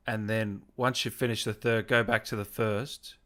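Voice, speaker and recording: A man's voice: fairly low pitch, level tone, normal speed, bright, forceful tone. A man hosting a casual video-call interview and reading out viewer questions in a laid-back, informal way. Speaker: interview host, gaming YouTuber.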